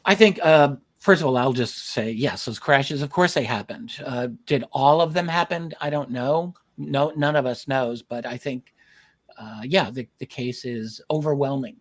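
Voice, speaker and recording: A man with a medium-pitched voice hosts an interview in a conversational style. He phrases things thoughtfully and a little hesitantly, often pausing and doubling back on his words. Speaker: host interviewing a documentary filmmaker.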